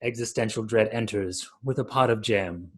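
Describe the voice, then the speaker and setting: A man's voice: lowish voice, normal speed, breathy edge. A man in his thirties narrating the scripted stage directions of a radio play over a video call.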